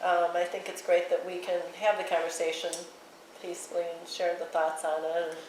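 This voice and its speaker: A woman's voice: on the low side, flat delivery, brisk, darkish tone. A woman hosting a round-table talk, earnest and appreciative as she sums up the discussion. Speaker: host. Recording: very echoey room, mic far off, faint noise.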